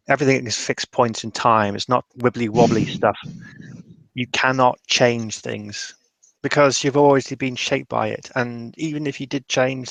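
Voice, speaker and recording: Man, tabletop roleplayer, gravelly fry, halting delivery, repeated restarts, dry close sound. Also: medium pitch, fairly bright tone, no room sound, low-quality recording. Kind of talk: improvised in-character roleplay with some narration.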